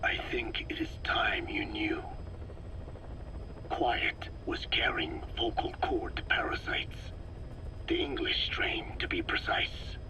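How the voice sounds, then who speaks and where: An actor voicing a grave older man in a video game, speaking in a breathy, gravelly whisper with halting, deliberate phrasing. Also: medium pitch, huge pitch swings, rough recording. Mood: grave, confiding. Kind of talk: video game cutscene acting.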